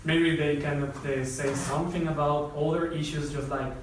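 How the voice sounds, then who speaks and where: A man's voice: fairly high pitch, steady tone, normal speed, a little hiss or hum. A man from Mexico with a Mexican accent, a native Spanish speaker, answering questions at a lecture with frequent hesitations. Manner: hesitant, restarts phrases. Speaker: guest speaker. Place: lecture hall. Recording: echoey hall, far from mic.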